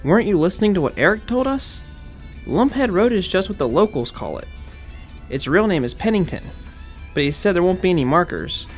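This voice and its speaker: A man plays a nerdy college pledge in an audio horror drama. His pitch moves about in a lively way as he eagerly explains things like a know-it-all, with music underneath. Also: a little fast. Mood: correcting. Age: young adult.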